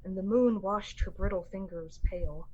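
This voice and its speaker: A woman's voice: medium pitch, moderate pace, mellow, husky, highs cut off, natural voice. A woman playing the solemn storyteller of an eerie radio play, narrating a scripted tale. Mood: solemn, hushed.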